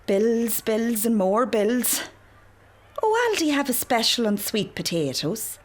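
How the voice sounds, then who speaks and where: A woman's voice: on the high side, sing-song, slow, full character voice. A woman playing a fussing, anxious, protective Irish mother in a naturalistic radio drama. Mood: fussing, caring.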